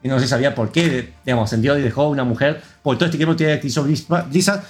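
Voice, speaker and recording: A man's voice: mid-range voice, racing, soft background music. A man with an Argentine accent hosting a casual gaming podcast, rambling through news and reading out listener comments. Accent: Argentine Spanish. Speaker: podcast host.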